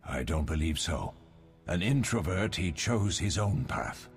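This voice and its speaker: An actor playing a wise, fatherly older mentor of monster hunters in a video game, measured and instructive. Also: low-pitched, expressive, soft background music. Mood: reflective.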